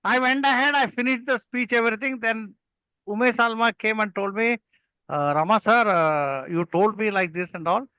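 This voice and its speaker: A man's voice: sits high, moderate pace, sharp tone, smooth, no echo. An older man from Kerala with a South Indian accent and Malayalam as his first language, telling stories and giving earnest, emphatic advice in conversation. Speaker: guest, experienced club speaker.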